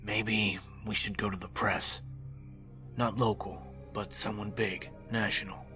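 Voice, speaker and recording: A big, gruff man acted out by a male reader talks bluntly and plainly, as in an audiobook drama. The voice is low, with music underneath. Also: flat delivery, measured pace, pushed and bright. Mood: gruff, thoughtful. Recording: music behind the voice.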